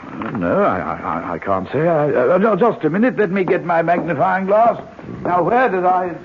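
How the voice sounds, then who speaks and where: An actor playing a fussy, flustered elderly doctor who stammers and hesitates, in an old radio drama. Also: male voice, a little high, big pitch swings, moderate pace, background noise. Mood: flustered, evasive. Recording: muffled vintage radio recording.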